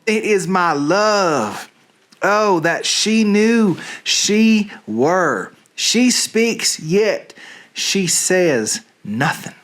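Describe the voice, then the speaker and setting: Lovestruck young suitor male reader: declamatory Shakespearean verse, dreamy and slow, with highly varied intonation. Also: fairly bright tone, full character voice.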